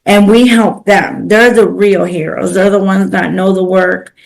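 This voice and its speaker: A woman who speaks Spanish natively chats as an interview guest. Her voice sits at an average pitch with plenty of vocal fry. She is earnest and talkative, explaining things in a conversational way. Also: steady tone, a little slow, low-quality recording. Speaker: podcast guest, pro bono legal coordinator. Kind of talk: conversational interview, personal career storytelling.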